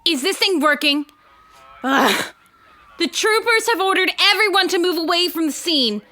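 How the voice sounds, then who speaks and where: Frightened radio reporter, very high bright voice, breathless, slow dramatic delivery, old-time radio drama. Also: female voice, animated, full character voice. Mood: uneasy. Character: frightened female field news reporter at disaster scene. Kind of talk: 1930s-style radio drama acting.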